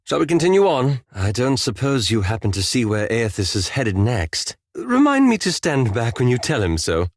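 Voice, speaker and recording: A man's voice: medium pitch, big pitch swings, moderate pace, few pauses, dry recording, studio quality. An actor playing a courteous, wry and anxious scholarly male elf companion in a fantasy video game, with formal, precise enunciation. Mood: thoughtful.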